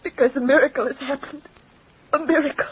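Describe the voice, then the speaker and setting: Lady playing a sad timid young girl in old radio drama, high plaintive voice, muffled audio. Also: steady tone, brisk, close to an everyday voice. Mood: overwhelmed, loving. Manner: plaintive, melodramatic. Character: wretched, downtrodden young daughter, timid and yearning. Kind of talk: vintage radio melodrama acting.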